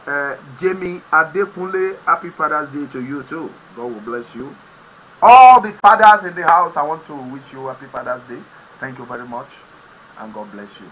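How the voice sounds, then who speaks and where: A man with a Nigerian accent whose first language is Yoruba hosts an online program, giving impassioned political commentary with sudden loud, emphatic bursts. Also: a little high, lively intonation, moderate pace, poor audio. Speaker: host of an online talk program.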